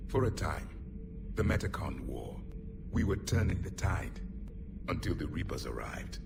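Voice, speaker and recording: A proud ancient alien warrior with a very deep voice, grave and commanding, his words often sinking into a cold half-whisper. He sounds set back from the mic under loud game music. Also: big pitch swings, unhurried, creaky, recorded from a distance, heavily acted. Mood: grim. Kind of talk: video game cutscene dialogue.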